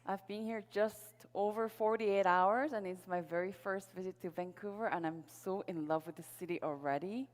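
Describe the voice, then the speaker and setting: A woman's voice: medium pitch, steady tone, moderate pace, hardly any pauses. A middle-aged woman from South Korea, a native Korean speaker, giving an upbeat motivational presentation to an audience, telling her personal story. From Seoul, South Korea. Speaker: sales presenter at a network marketing event. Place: large event hall on stage. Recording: reverberant hall PA sound.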